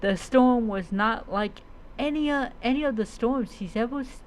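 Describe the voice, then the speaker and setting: A streamer narrating a fantasy adventure tale in a dramatic storytelling style, halting and often stumbling over and repeating words. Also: medium pitch, slight background noise, low-quality recording. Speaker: streamer narrating own roleplay character's story.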